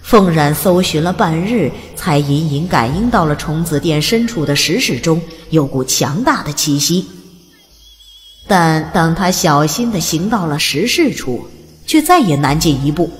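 A woman narrating an audiobook with expressive, gently lilting storytelling. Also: low-pitched, a little slow, long reverb tail, slight background noise.

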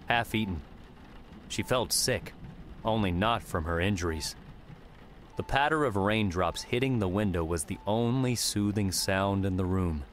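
A man's voice: lowish voice, a bit bright, slight background noise. A man reading scripted fiction as an audiobook narrator.

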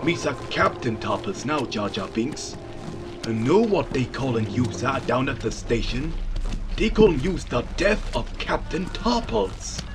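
A man's voice: mid-range voice, sing-song, unhurried. A man voicing a stern, weary amphibian alien guard captain in a comic dub, curt, dry and authoritative. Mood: irritated. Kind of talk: comic dub character acting. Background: music.

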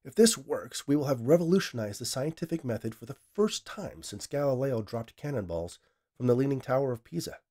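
A man voicing an eager male scientist, speaking in a raised, excited, emphatic way. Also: mid-range voice, fairly quick, voice pushed up, close to an everyday voice.

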